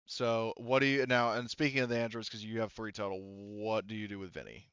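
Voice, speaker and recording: A man narrating a tabletop sci-fi game as game master, conversational and halting, repeating words, on a headset. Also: fairly low pitch, fairly even intonation, fairly bright tone, smooth, dry recording. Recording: dull close headset sound.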